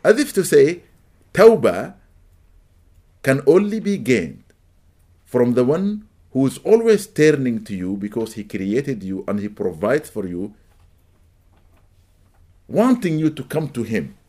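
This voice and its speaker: Brief: middle-aged Arabic-speaking man, animated religious lecture, mid-pitched voice with emphatic peaks. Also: takes it slowly, long pauses. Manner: animated, rising to emphatic peaks, welcomes latecomers mid-talk. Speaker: religious teacher. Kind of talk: lecture to a live audience.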